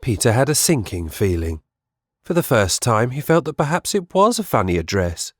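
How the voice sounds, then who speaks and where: A man's voice: mid-range voice, big pitch swings, normal speed, no echo, clean studio sound. A man reading scripted storybook narration as the narrator of an audiobook.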